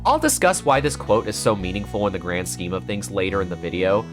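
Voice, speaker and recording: A man's voice: fairly low pitch, sing-song, brisk, music in the background. A man delivering scripted, analytical video essay narration in an earnest manner with dramatic emphasis.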